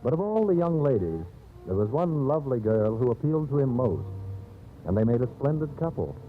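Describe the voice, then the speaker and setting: A man narrating a scripted 1930s radio drama in a solemn, theatrical, measured storyteller's manner. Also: medium pitch, sing-song. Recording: old scratchy 1930s radio, narrow phone-like band. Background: dramatic background music.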